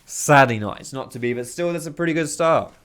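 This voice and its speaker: A man's voice, a little hoarse and worn from talking, narrates game footage in a quick, excitable play-by-play. He sits at a home desk mic, reacting to each big moment with loose, slangy enthusiasm. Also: on the high side. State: hoarse, losing his voice. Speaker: video host. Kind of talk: gameplay commentary.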